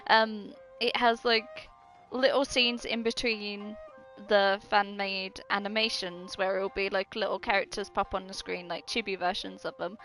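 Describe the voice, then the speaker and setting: A woman's voice: mid-range voice, steady tone, unhurried, a bit bright, soft background music. A woman game streamer chatting casually over gameplay in a giggly, rambling, hesitant way.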